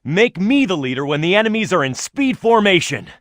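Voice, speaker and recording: A man's voice: high-pitched, very animated, brisk, fairly bright tone, no echo, studio quality. A man voicing a tough, hot-headed echidna brawler in a video game, proud and blunt in manner. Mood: proud, instructive.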